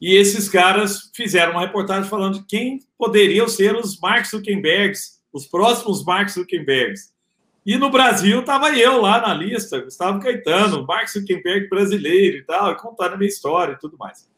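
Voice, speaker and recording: Man, Rio de Janeiro accent, slangy casual talk, fairly high voice, echoey room. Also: moderate pace. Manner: relaxed slangy talk with filler words. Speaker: entrepreneur guest. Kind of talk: casual interview storytelling.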